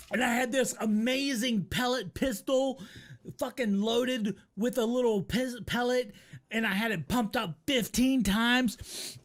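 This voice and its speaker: A middle-aged American man with a notably high-pitched voice tells a casual story. He rambles through it with salty language, acting bits out in an animated, comic manner. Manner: profane, animated, acts out his remarks. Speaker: storyteller, host.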